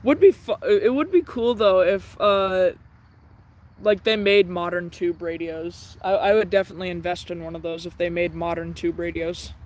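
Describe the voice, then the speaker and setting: A man chatting casually on a live radio-tuning stream in a halting, low-key, hesitant way. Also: fairly even intonation, a little mellow.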